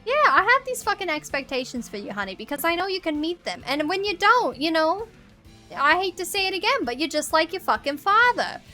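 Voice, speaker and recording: A woman's voice: extremely high pitch, big pitch swings, fairly quick, pushed and bright, soft background music, full character voice. A woman playing a brash, exasperated nagging mom with a nasal New York accent, combative and repetitive. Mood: exasperated scolding. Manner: combative, repetitive, swearing, mock-offended.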